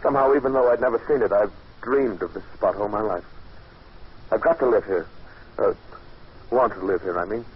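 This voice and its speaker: A male actor as a wealthy dreamer in the grip of a strange obsession. He speaks in a fairly low, hesitant, intense voice in vintage radio drama style. The sound is muffled and faintly noisy. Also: a little fast, pauses often, natural voice. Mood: obsessed, evasive. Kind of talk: 1940s radio acting. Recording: dull noisy old radio recording.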